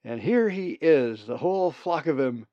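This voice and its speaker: A man's voice: fairly high pitch, expressive, moderate pace, no room sound, pitched above the performer's own voice, lightly acted. A man doing a breezy, teasing she-raven. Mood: breezy, amused. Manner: breezy, bright, amused. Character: cheerful teasing female raven. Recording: dull lo-fi recording.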